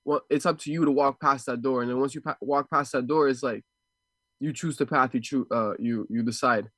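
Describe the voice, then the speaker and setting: Male martial artist, interview guest, medium pitch. Casual, thoughtful, halting delivery with repeated words. Dry recording. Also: steady tone, a bit bright, no echo. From Ecuador and Houston, USA. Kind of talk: casual, reflective interview talk.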